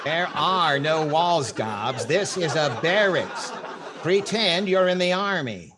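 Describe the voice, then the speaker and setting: A man's voice: on the high side, expressive, slow pace, noisy background. A man acting as a dry, wry comedian host and narrator in scripted 1940s radio comedy.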